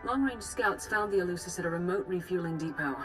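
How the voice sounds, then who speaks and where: A woman playing a grave, composed Jedi master in a video game, giving formal briefings with measured authority. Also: fairly low pitch, music in the background, natural voice. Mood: grave, urgent. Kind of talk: formal dramatic mission briefing.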